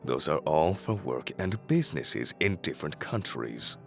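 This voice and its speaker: A man's voice: extremely low pitch, sing-song, moderate pace, vocal fry, fairly bright tone, soft background music, exaggerated voice. An actor playing a stern, dismissive middle-aged businessman father, curt and authoritative, in an audio drama. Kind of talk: modern audio drama acting.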